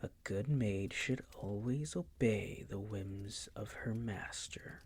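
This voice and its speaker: A man playing a possessive, coolly menacing vampire master in an intimate audio roleplay, teasing and condescending in a breathy, gravelly whisper. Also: fairly low pitch, darkish tone, full character voice. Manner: slow, condescending, teasing, coaxing.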